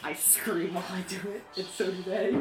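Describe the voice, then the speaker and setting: A man's voice: sits high, steady tone, brisk, roomy sound, far from the mic. A man chatting casually and arguing his points as a player in a tabletop game. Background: music.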